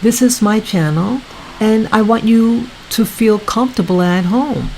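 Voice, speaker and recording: A woman hosting her own video channel, talking casually to her viewers in a soft, soothing voice, halting often and repeating herself. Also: fairly high pitch, creaky, slight background noise. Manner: slow, repetitive, halting with many restarts. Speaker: YouTube channel host.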